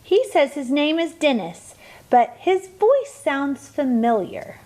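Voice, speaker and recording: A woman playing a brisk, firm mother who gives instructions as producer in a family radio play. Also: high voice, sing-song, a little mellow, exaggerated voice. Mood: encouraging, businesslike.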